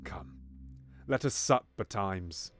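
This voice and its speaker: An actor playing a ruthless, scheming royal uncle in Shakespearean audio drama, courteous in measured, formal verse. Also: male voice, low-pitched, very animated, a bit bright, soft background music, exaggerated voice. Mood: coolly ruthless.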